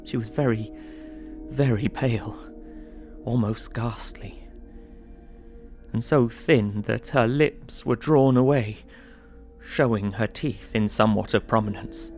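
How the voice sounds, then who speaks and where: An actor playing an earnest, anxious young solicitor, narrating his diary. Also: fairly low pitch.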